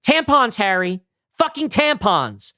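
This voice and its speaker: Scolding housewife in her forties played by a man, raised pitch, sharp sarcasm, fed up with her husband, table-read delivery. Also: big pitch swings, slow, no room sound, clean studio sound, exaggerated voice. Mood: scolding, sarcastic. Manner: sharp, nagging, sarcastic rhetorical questions. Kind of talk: amateur script table read.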